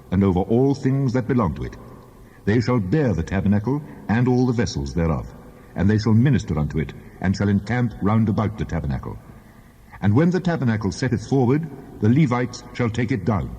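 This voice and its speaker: God, booming in vast reverb. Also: male voice, dark tone, breathy edge. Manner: solemn, measured, commanding. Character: God, a solemn, commanding male divine voice. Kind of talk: dramatized scripture reading. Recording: long cavernous reverb, muffled sound.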